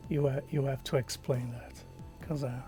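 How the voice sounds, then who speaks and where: A man from Portugal with a Portuguese accent, chatting quietly on a stream, hesitant, with many fillers and slips into whispers. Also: medium pitch, fairly even intonation, normal speed, faint music behind. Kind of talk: quiet casual chat with viewers.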